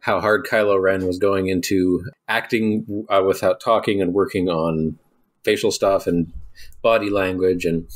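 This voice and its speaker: A man's voice: low voice, fairly even intonation, a little slow. A man co-hosting a podcast in casual book-discussion chat, wry and joking, laughing often. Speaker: podcast co-host.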